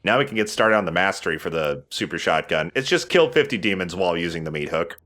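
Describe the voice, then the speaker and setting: A man's voice: low-pitched, expressive, fast talker, bright, forceful tone. A man hosting a let's play, explaining the game casually with playful, jokey slang. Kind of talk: casual gameplay commentary and walkthrough.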